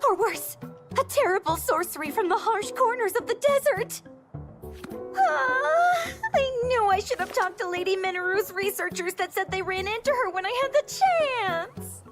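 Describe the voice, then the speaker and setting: A woman voicing an excitable, gossipy young castle maid with a theatrical, fanciful manner in a video game cutscene. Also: extremely high pitch, normal speed, over music. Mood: dramatic, spooked. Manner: theatrical, gasping, animated storytelling.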